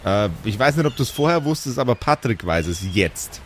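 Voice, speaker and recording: A man's voice: mid-range voice, expressive, moderate pace, a little hiss or hum. A man running a tabletop role-playing game as game master, narrating in a wry, amused way.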